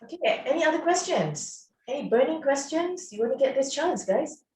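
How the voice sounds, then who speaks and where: A woman's voice: sits high, very animated, normal speed, darkish tone, a little hiss or hum. A woman moderating a Q&A, asking hesitant questions with many fillers. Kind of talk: audience Q&A moderation. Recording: echoey room, voice from far off mic.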